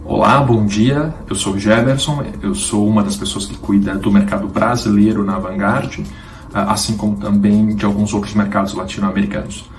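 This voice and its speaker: A man who manages a market, giving a calm, polite video greeting. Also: fairly low pitch, moderate pace, far from the mic.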